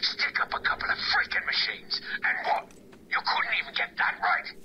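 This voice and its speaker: A woman's voice: normal speed, in a whisper, dull audio, close to an everyday voice. An actress playing an unhinged female villain in a game cutscene, ranting and scolding her henchmen. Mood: furious. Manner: ranting, scolding, wildly swinging.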